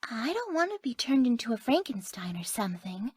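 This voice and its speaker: A woman voicing a sweet, sleepy, scatterbrained young woman in a video game, with a soft, airy, naive delivery. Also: a little high, very animated, a little fast, dry recording, clean studio sound, heavily acted. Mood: shy, curious. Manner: soft, airy, gentle, often dropping to breathy near-whispers. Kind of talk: video game character voice lines.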